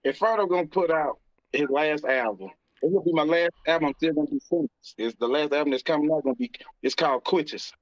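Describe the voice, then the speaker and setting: A middle-aged American man answering interview questions casually, in a halting, informal way. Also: fairly high pitch, no room sound. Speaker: interview guest, rapper and label CEO. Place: indoor room, video call. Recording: slightly muffled, dry call audio.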